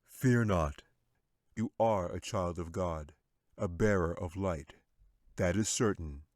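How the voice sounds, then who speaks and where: A solemn guardian angel from a fantasy storybook, played by a man, speaking with calm, measured formality. The voice is very low and reassuring, recorded close and dry. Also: no room sound. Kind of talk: fantasy audiobook character acting.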